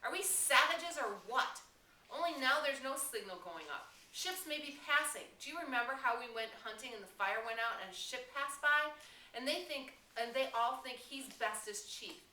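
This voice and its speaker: A woman plays an outraged boy leader from a storybook, emphatic and despairing. The voice is bright and urgent. It is heard in an echoey room, a little far from the microphone. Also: high-pitched, lively intonation, moderate pace, voice pushed up. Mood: frustrated. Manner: impassioned, emphatic. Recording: roomy, distant classroom mic with noise.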